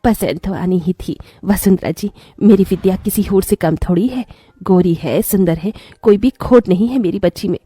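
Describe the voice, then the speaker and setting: A haughty middle-aged stepmother from a cartoon morality tale, acted by a woman. Her voice is mellow and slightly rough, recorded dry. She is scolding, taunting and vain about her daughter. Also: medium pitch, normal speed, no echo, natural voice. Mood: boastful.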